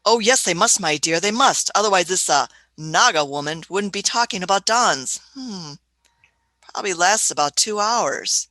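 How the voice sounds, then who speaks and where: A woman's voice: medium pitch, expressive, normal speed, pushed and bright. A woman voicing an elderly female time traveler in a fan radio play, shrewd and fussy, often hesitating with "uh" and "hmm".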